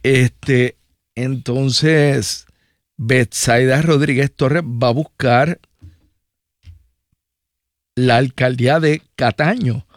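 A man's voice: medium pitch, drawn-out words, pauses often, no room sound, clean studio sound. A man with a Puerto Rican accent hosting a radio talk show, conversational with rambling personal asides. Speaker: radio host. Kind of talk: radio news and interview talk.

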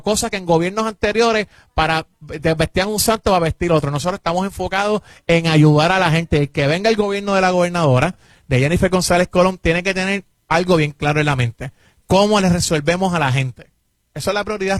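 A man's voice: high voice, brisk, no echo. A man from Puerto Rico with a Puerto Rican accent, a government official answering interview questions emphatically and insistently. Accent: Puerto Rican Spanish. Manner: emphatic, insistent, repeats points. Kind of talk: interview answers, political defense.

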